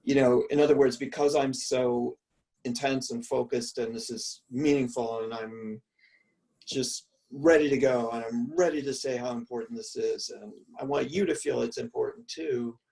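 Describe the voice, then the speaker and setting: Mellow professor guy thinking out loud on a video call, gravelly, a bit far off the mic. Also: medium pitch, fairly even intonation, moderate pace. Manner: mellow, musing, many restarts and fillers. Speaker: art history professor. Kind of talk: reflective conversational answers. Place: room at home.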